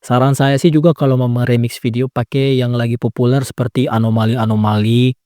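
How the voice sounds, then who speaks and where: A man's voice: mid-range voice, little pitch movement, brisk, mellow, no echo, studio quality. A young man giving a casual, chatty step-by-step editing tutorial, laid-back with lots of filler tags. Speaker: tutorial creator. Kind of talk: casual screen-recording tutorial.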